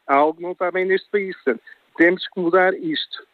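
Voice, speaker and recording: A man's voice: high voice, steady tone, quick pace, dry recording. A man calling into a radio phone-in, arguing his point and pushing his voice up for emphasis. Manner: argumentative, pushes his voice up for emphasis. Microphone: telephone. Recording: dry tinny phone line.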